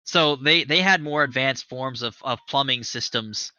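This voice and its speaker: A man's voice: mid-range voice, unhurried, pushed and bright, no room sound. A man in his thirties hosting a livestream chat, talking eagerly and at length, with fillers and swearing for emphasis. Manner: enthusiastic, rambling, swears for emphasis. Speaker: show host. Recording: dry, slightly dull webcast audio.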